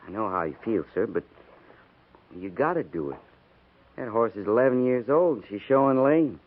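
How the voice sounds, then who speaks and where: A man acting a gruff, by-the-book American cavalry sergeant with a blunt, clipped military manner in a 1950s radio drama. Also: fairly low pitch, expressive, normal speed. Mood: subdued, blunt. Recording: tinny narrow-band 1950s radio recording.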